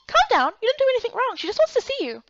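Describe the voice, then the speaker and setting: A woman voicing an excitable, pushy young female friend in a gushing, eager manner for a voiced story game. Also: very high voice, brisk, lo-fi sound, background noise, pitched above the performer's own voice, heavily acted. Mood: bubbly, teasing.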